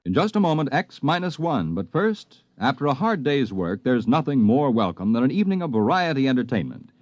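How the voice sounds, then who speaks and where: A man's voice: mid-range voice, very animated, a little fast, a little mellow, dry recording. A man working as a polished radio announcer, delivering upbeat promotion in 1950s style. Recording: dull 1950s radio recording.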